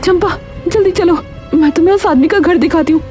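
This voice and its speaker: A woman's voice: very high-pitched, brisk, a little mellow, faint music behind, pitched above the performer's own voice. A woman voicing a bold, sensible neighbour in a cartoon story, with a frank, urgent and chiding manner. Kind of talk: animated cartoon story dialogue.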